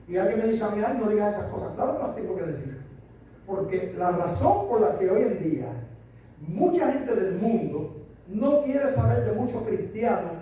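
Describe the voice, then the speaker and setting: Older Puerto Rican pastor, high mellow voice, emphatic, echoey hall mic from far off. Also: male voice. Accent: Puerto Rican Spanish. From Puerto Rico. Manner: emphatic, repeats phrases, asks listeners "¿entiendes?". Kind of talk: sermon. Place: church hall. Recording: echoey hall, voice from far off, faint room noise.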